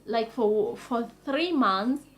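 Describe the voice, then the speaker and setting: A young woman from Kenya hosting her own vlog, talking casually and enthusiastically, heaping on superlatives with many pauses. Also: fairly high pitch, lively intonation. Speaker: vlogger.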